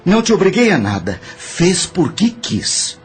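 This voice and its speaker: Man playing a high-pitched, touchy crooked boss. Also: very animated, a little slow, slight background noise. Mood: defensive. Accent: Brazilian. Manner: indignant, defensive. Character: defensive, touchy male spirit, dishonest former boss. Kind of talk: Portuguese-language audio drama acting.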